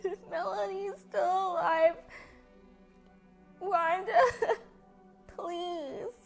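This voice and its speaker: A woman's voice: very high voice, steady tone, very slow, gravelly, soft background music, voice pushed up, full character voice. A woman reading as a tearful pleading boy. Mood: crying. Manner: pleading, fighting back tears. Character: young teenage boy, earnest and tearful.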